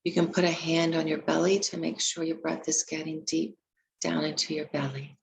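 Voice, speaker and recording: A woman leading a guided meditation, giving gentle, unhurried instructions with long pauses between phrases. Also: low voice, flat delivery, creaky, rough recording.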